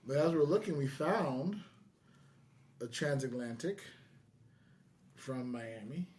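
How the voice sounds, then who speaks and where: A man's voice: mid-range voice, lively intonation, slow, a little mellow. An American man from California talking casually as a podcast host. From California, United States.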